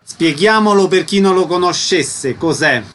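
Higher-pitched man, radio interviewer. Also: animated, a little slow, a bit bright, slight background noise. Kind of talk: radio interview, asking questions.

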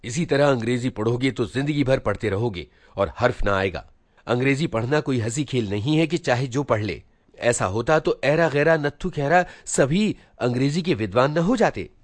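A man's voice: medium pitch, normal speed, no room sound, close to an everyday voice. A man voicing a stern, self-important teenage elder brother in a storybook reading, preaching and scolding with rhetorical questions. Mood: lecturing, scornful.

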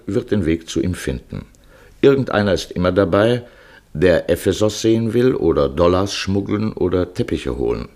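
A man narrating a literary travel feature in 1950s radio style, telling it vividly and in a measured way. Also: low-pitched, darkish tone.

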